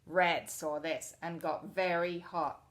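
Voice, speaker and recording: A woman teacher reading a storybook aloud to children expressively, pausing to explain things in simple words. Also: on the low side, fairly even intonation.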